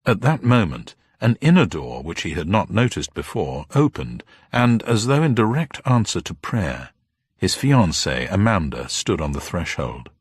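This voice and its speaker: A man reading scripted fiction in a dramatic, expressive storytelling manner. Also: low voice, unhurried, slightly rough, dry recording. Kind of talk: scripted audiobook narration of a detective novel.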